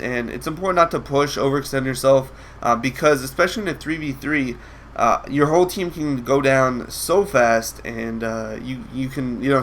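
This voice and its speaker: A man's voice: mid-range voice, fairly bright tone, slight background noise. A young man with a nasal, congested voice, feeling sick, giving casual commentary over a video game match with lots of ums and restarts. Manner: rambling with many ums and restarts. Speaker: gameplay commentator.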